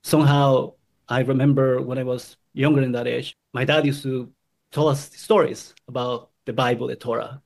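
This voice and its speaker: Earnest man from Guatemala, gravelly voice. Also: mid-range voice, little pitch movement, a little mellow. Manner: earnest, halting, with restarts. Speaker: convert sharing his story. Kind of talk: personal testimony.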